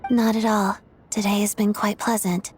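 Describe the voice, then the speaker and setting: A woman playing a poised, reserved and frank young ballet star in a video game cutscene, speaking in a measured, understated way. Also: medium pitch, little pitch movement, loud music behind the voice, close to an everyday voice. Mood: composed.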